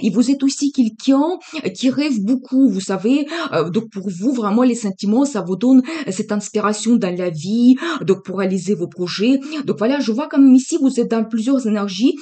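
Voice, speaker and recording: A woman talks through a tarot spread, speaking directly to the person it is for. Her voice sits a bit high but sounds mellow and warm. She pauses and fills gaps with hesitant filler sounds while staying reassuring. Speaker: tarot card reader. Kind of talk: tarot reading addressed to the viewer.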